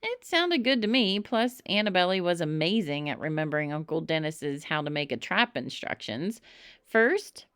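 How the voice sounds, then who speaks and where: A woman reading a children's story aloud in an expressive narrating style, with emphatic, dramatic phrasing. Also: low-pitched, moderate pace, few pauses, smooth, no room sound, studio quality. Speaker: storybook reader.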